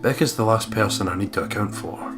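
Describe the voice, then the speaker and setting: A low-voiced man co-hosts a let's play. He reads story narration aloud and chats casually about the game, with music clearly audible behind him. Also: a little fast. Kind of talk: reading visual novel narration aloud with casual commentary.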